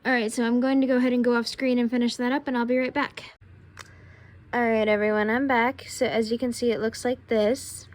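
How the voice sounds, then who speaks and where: A young woman with a tired, raspy voice, casually talking through a craft tutorial step by step while she works. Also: fairly high pitch, a little fast. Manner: relaxed, talks while working with hands.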